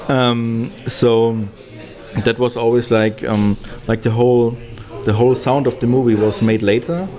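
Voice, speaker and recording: A man speaking casually as an interviewed film director, halting and often searching for words. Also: medium pitch, steady tone, darkish tone.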